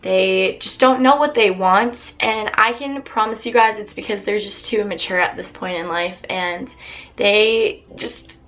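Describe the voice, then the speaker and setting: A young woman vlogger chatting openly to camera while answering viewer questions, her voice a little raspy from losing it. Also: mid-range voice, unhurried, a bit bright, rough recording. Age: young adult. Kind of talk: casual chat to camera.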